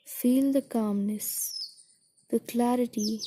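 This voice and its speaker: A woman guiding a meditation in a soothing, gentle, deliberate way, with a soft, breathy voice that trails toward a whisper. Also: a little high, fairly even intonation, background noise. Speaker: meditation guide. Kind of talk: guided meditation narration.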